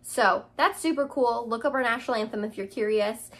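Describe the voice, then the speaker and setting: A woman's voice: fairly high pitch, fairly quick. A young woman from Canada with a Canadian accent, chatting casually to her camera as a vlogger, with lots of "um"s and "like"s. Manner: chatty, with many "um"s and "like"s.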